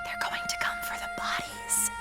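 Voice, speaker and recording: An actress playing a capable, bossy young Sicilian woman, the protective friend, brisk and commanding in a radio play. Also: whispered, natural voice. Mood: urgent. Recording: loud soundtrack music behind voice.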